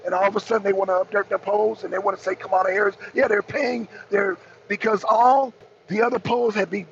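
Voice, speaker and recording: An American man with a raspy voice hosting a political talk show, giving impromptu commentary and emphatically repeating his key phrases. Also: high-pitched, fairly even intonation, brisk. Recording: dull lo-fi audio, clear background noise.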